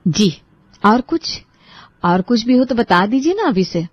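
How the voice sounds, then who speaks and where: A woman's voice: fairly high pitch, very animated, unhurried, husky, no room sound, muffled recording, full character voice. A woman playing a resentful, put-upon young sister-in-law in a dramatized family story, sarcastic and grudgingly polite. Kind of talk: dramatized storytelling with asides to listeners.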